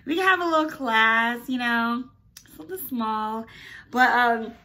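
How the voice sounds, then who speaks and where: A woman's voice: fairly high pitch, unhurried. A young woman, raised in America after being born in Nigeria, telling stories casually to her channel with lots of "like" and "oh my gosh". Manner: animated, chatty, lots of "like" and "oh my gosh". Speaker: YouTuber. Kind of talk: casual storytelling to camera.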